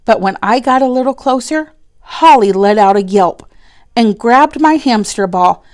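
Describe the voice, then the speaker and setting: Female storybook narrator, higher-pitched, very unhurried, expressive read-aloud for kids. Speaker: read-aloud storyteller reading a children's book.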